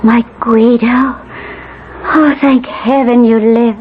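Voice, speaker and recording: Tender high-voiced young noblewoman. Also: fairly even intonation, slow pace, narrow, tinny audio. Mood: tender, relieved. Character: devoted, anxious young noblewoman in love. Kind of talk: 1970s radio drama acting, romantic period melodrama. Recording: thin band-limited old radio, faint noise.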